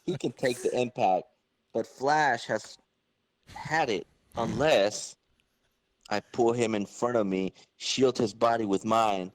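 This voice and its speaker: A man's voice: mid-range voice, slow, no room sound, close to an everyday voice. Man reading as an earnest comic-book superhero. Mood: strained, desperate. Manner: self-narrating, earnest. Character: heroic young male wisecracking superhero, earnest and strained.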